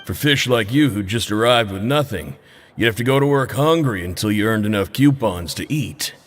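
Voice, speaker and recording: A man's voice: medium pitch, fairly bright tone, slight background noise, natural voice. A man voicing a blunt, loyal male prison inmate in a video game, plain-spoken and direct. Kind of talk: game voice acting.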